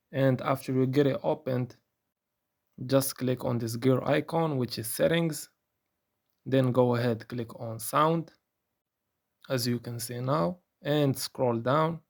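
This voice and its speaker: A man narrating a step-by-step software tutorial in a plain, instructional manner. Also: medium pitch, gravelly.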